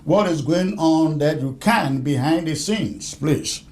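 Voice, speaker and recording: A man's voice: a little high, animated, takes it slowly, a little mellow, a little hiss or hum. A man speaking as an interviewer on a political call. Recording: echoey, roomy sound.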